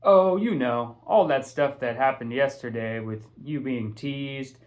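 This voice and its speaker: A man's voice: mid-range voice, unhurried, natural voice. A man voicing a kindly, forgetful old shopkeeper in an audiobook, with a fatherly, questioning manner. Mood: concerned.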